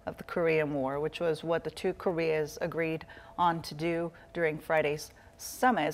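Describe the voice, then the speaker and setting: A woman working as a news anchor, putting questions to a guest in a live interview. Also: sits low.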